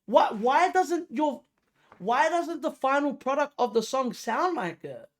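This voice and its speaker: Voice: high voice, lively intonation, unhurried. A reaction video host giving casual, slangy music commentary, emphatic and repetitive, often throwing out rhetorical questions. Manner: informal, slangy.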